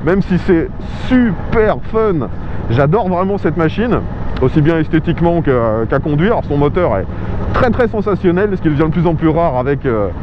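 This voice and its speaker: A man's voice: a little high, lively intonation, normal speed. Chatty man reviewing a motorcycle on the road. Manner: chatty, enthusiastic, many fillers. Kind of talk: casual spoken vehicle review. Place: outdoors riding a motorcycle on rural roads. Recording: muffled helmet mic with road noise. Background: engine and road noise.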